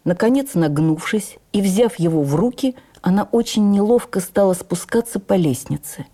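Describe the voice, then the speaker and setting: A woman reading a memoir for radio as an actress, with measured, expressive, theatrical storytelling. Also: medium pitch.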